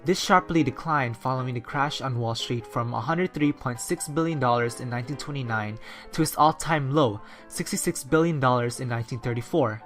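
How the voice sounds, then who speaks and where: A narrator and newscaster reading a 1930s-style radio story and news report in a steady, scripted way. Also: mid-range voice, brisk, soft background music. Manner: steady, read-from-script delivery.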